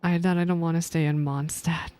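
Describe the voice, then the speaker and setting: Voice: medium pitch, little pitch movement, fairly quick, darkish tone, smooth, no room sound. A video game streamer reading game text aloud and reacting with emotional commentary. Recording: game music behind the voice. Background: video game music.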